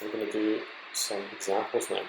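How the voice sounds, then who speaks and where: A man lectures in a low voice with plenty of creak at the edges. He explains things step by step in an informal, instructive way, as if teaching trainee doctors from slides. The recording is roomy and echoey. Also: completely flat delivery, moderate pace, background noise. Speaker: medical lecturer.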